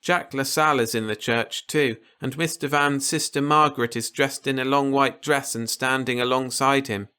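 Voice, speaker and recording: A man's voice: mid-range voice, steady tone, moderate pace, few pauses, pushed and bright, clean tone, pitched above the performer's own voice, lightly acted. A man voicing an innocent, trusting little boy in a storybook reading, earnest and naive in simple words. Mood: earnest, straining.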